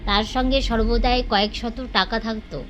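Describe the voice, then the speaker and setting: A woman playing a businessman's earnest wife in an audio story, answering questions in a measured way. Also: a little high, steady tone, fairly bright tone, natural voice. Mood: earnest, sombre. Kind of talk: dramatised audio story acting. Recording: dull lo-fi sound, faint noise.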